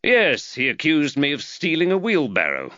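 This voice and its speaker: A man voicing a polite, measured detective with a dry wit, close to his own voice. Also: on the high side, wildly swooping pitch, bright, forceful tone, dry recording, muffled recording, voice pushed up, full character voice. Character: calm, dry-witted young male detective.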